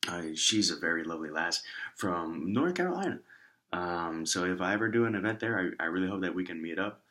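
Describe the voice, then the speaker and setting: A man with a raspy voice and a lingering cough, casually rambling through shoutouts with many restarts and asides. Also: low voice, expressive, brisk. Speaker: author and vlogger.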